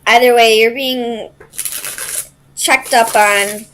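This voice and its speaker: A woman's voice: a little high, fairly even intonation, slow pace, a bit bright, clear, resonant tone, poor audio. A woman doing an informal tarot reading, speaking straight to the listener and thinking aloud in loose, tentative phrases. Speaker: tarot reader and host.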